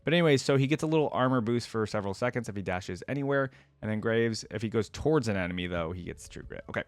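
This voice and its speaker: Low-voiced man reacting casually, dry close sound. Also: lively intonation, quick pace, dry recording. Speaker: reaction video host. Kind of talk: casual reaction commentary, reading game text aloud.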